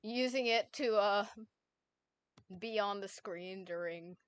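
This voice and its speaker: A woman's voice: medium pitch, takes it slowly, smooth, dry recording. A woman hosting a casual stream, giggling often and swearing playfully as she chats and reads a story summary aloud. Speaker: streamer host.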